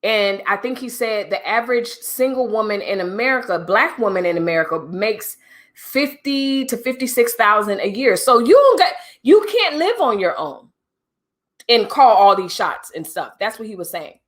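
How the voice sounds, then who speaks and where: A woman's voice: a little high, animated, moderate pace. A middle-aged woman hosting a livestream, talking casually and directly to her viewers with preachy asides. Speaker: livestream host.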